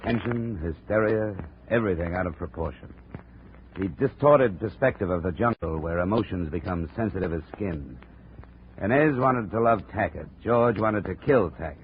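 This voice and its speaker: A man's voice: low voice, animated, moderate pace. A man acting a tough, level-headed investigator in a 1950s radio drama, with clipped, terse, hard-boiled delivery. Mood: grim narration. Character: tough, level-headed American male investigator narrating his jungle trek. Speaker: lead actor and narrator. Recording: muffled, hissy 1950 radio recording.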